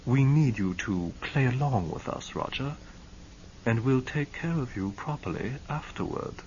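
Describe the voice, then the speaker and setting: A man voicing a composed, measured male lawyer, on a muffled lo-fi recording. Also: mid-range voice, expressive, darkish tone, a little hiss or hum, voice pushed up, close to an everyday voice. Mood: calm. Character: calm, smooth-talking male lawyer.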